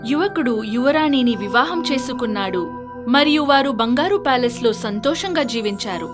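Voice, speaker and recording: A woman tells a children's fairy tale as a scripted narrator. Her voice is notably high-pitched, with music playing behind it. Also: lively intonation, normal speed.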